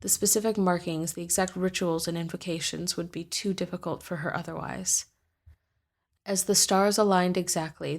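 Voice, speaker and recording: A woman host reading a first-person fantasy story aloud in a measured, deliberate way. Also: on the low side, gravelly.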